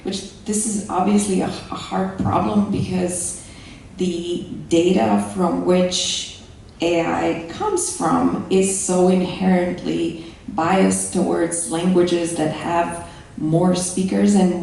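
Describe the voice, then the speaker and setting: Echoey distant woman, gravelly voice. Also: on the low side, dark tone. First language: Slovenian. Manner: thoughtful, hesitant restarts. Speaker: panelist. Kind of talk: conference panel discussion. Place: large conference hall. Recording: echoey hall from far off.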